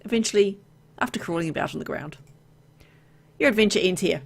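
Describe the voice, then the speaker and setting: A woman's voice: mid-range voice, a little fast, gravelly, breathy edge. A woman reading a video game's story aloud, mixed with casual, playful asides as she plays. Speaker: video game let's player.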